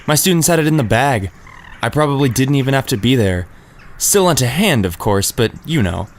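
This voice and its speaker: A man's voice: mid-range voice, animated, brisk, a little hiss or hum, lightly acted. A man playing a breezy, boastful young ninja teacher in an audio drama, casual, slangy and teasing. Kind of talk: fan audio drama acting.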